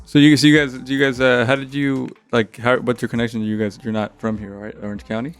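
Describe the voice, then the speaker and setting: A man's voice: mid-range voice, level tone, fast talker, smooth, soft background music. A man hosting a podcast in casual chat, friendly and appreciative toward his guests. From Santa Ana, California. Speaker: podcast host.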